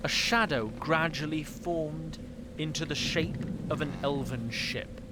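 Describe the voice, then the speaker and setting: A man narrating a scripted fantasy audio drama in a measured storytelling manner. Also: on the high side, noisy background.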